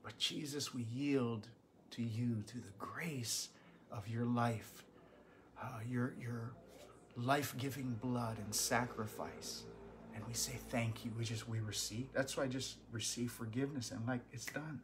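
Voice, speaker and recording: A man, a pastor teaching a Bible study from home, earnest and animated, with bursts of emphasis. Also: mid-range voice, a little slow, background noise. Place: small living room at home.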